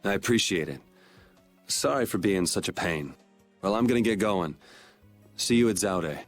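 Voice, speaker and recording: A low-voiced, laid-back game hero. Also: male voice, lively intonation, moderate pace, soft background music. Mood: wry, relaxed. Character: laid-back, blunt young male swordsman hero. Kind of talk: video game voice acting.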